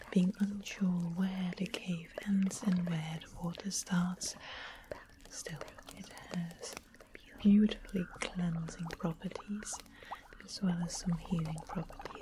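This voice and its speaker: Female performer as sweet fantasy witch for ASMR: hushed, unhurried, explanatory whisper, noticeable background noise. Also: fairly low pitch, little pitch movement. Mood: gentle, hushed. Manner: slow, gentle, explanatory. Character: sweet-seeming witch of the west, potion maker. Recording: close whisper with clear background hiss.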